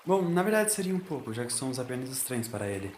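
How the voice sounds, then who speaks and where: A Brazilian man voicing a curious, sharp young woman companion who questions things, in an audio drama. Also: mid-range voice, sing-song, quick pace, a little hiss or hum, natural voice. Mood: puzzled.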